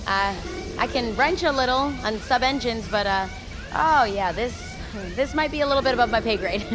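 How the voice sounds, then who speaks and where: An actress playing a friendly, easygoing and supportive crew member, casual and self-deprecating, in an audio drama. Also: female voice, on the high side, normal speed, natural voice, rough recording. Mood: friendly, joking. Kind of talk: naturalistic audio drama acting.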